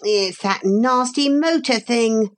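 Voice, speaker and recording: A woman's voice: fairly high pitch, slow pace, pitched above the performer's own voice. A woman voicing a blunt, earnest, indignant young boy in a storybook. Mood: dismayed. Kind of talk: storybook character voice.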